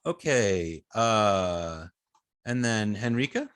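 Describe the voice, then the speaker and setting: A man with a fairly low voice works through a technical explanation as an instructor. He thinks aloud, often stopping and restarting his phrases. The sound is dry, with little room in it. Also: animated, a bit bright, clear, resonant tone, no room sound. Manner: halting, repeats and restarts phrases while reasoning. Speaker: web design instructor. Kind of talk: informal hands-on teaching, thinking aloud.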